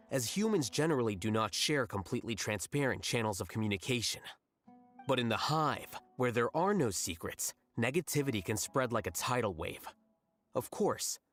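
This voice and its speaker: An actor playing a rational, blunt young scholar in a video game, speaking in a measured, detached, matter-of-fact way. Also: male voice, mid-range voice, natural voice. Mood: detached, explanatory. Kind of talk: English video game voice acting.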